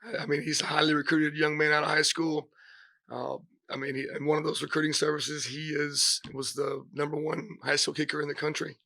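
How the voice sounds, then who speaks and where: A middle-aged man, hoarse from a cold, giving press conference remarks as a head football coach, halting with many fillers and restarts. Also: on the high side, little pitch movement, sharp tone, no room sound.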